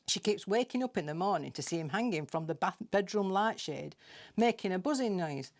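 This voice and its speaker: A woman's voice: medium pitch, expressive, brisk. A British woman reading a scripted comic monologue in a dry, chatty, confiding manner, like gossip. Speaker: storyteller reading own comic tales.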